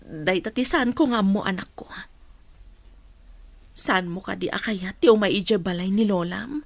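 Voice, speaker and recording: A dry, close-sounding mother's voice, husky and medium in pitch. She is long-suffering and aggrieved, speaking with weary earnestness in a radio drama. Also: moderate pace, dry recording. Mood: concerned.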